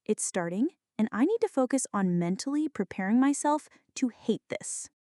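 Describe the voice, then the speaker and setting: A woman's voice: a little high, lively intonation, moderate pace, dark tone, no echo, studio quality, lightly acted. A woman acting a jumpy, flirty, dramatic friend in an ASMR roleplay, talking to the listener in a teasing, mock-indignant way. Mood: playfully bossy.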